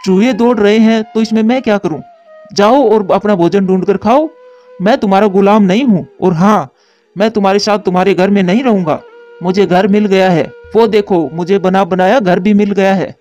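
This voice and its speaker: A man's voice: high voice, fairly even intonation, normal speed, a little mellow, lightly acted. A man voicing a selfish, sly, lazy male crow in a children's story cartoon. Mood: defiant. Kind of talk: Hindi children's moral story cartoon dialogue. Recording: dull lo-fi track with music.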